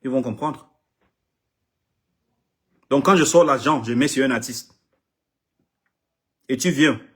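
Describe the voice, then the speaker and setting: A man's voice: medium pitch, slow pace, a bit bright, breathy edge. A middle-aged man from Cameroon, an artist manager whose first language is English, speaking French in an impassioned public address, emphatic and repeating himself, often stumbling over his words.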